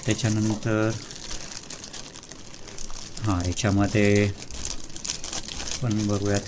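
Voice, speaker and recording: A man from India gives a casual, unscripted product walkthrough, halting between short phrases. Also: lowish voice, monotone, noisy background. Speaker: vlogger presenting a product unboxing.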